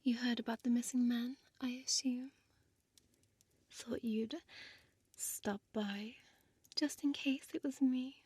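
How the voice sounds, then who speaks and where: A woman's voice: on the high side, steady tone, slow, long pauses, a little mellow, slightly rough, no room sound. A woman playing a seductive, menacing female vampire in an intimate audio roleplay, toying with her prey in a teasing half-whisper and archaic wording. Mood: amused, taunting.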